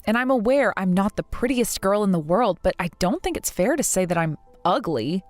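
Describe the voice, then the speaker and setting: A woman in her thirties voicing a patient, polite bride-to-be who speaks in a soft, measured, restrained way. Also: mid-range voice, animated, no echo, soft background music, lightly acted. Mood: hurt. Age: 30s.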